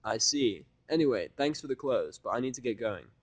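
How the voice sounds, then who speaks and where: A man voicing a gruff, cynical, blunt human who speaks curtly and grudgingly. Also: medium pitch, lively intonation, brisk, no echo, natural voice. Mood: brisk. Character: gruff, cynical, blunt human living reluctantly among ponies.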